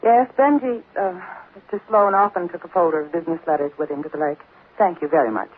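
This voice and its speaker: A woman's voice: lowish voice, quick pace, lightly acted. Actress playing uneasy businesswoman, restrained politeness, hesitant, 1940s radio drama, thin lo-fi sound. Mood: guarded, polite. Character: uneasy, guarded businesswoman hiding her nerves. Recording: tinny, faintly noisy 1940s radio recording.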